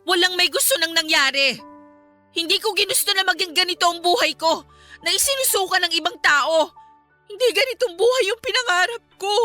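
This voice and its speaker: A woman's voice: very high-pitched, animated, fairly quick, bright, forceful tone, soft background music, heavily acted. A woman playing a hurt, proud young wife and mother in a Filipino radio drama, emotional and pleading with indignation. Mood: indignant, wounded.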